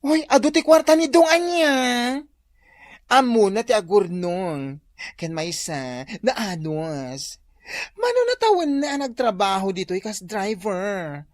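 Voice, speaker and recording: A woman's voice: mid-range voice, big pitch swings, slow, darkish tone, no room sound. A woman playing a chatty, teasing, matchmaking friend in an Ilocano comedy radio drama, dramatic and with an Ilocano accent. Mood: teasing, excited.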